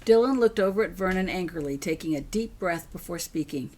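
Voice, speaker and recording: Low-pitched woman reading a story. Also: expressive, a little fast, a little mellow. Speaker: author reading own story. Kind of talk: scripted story narration.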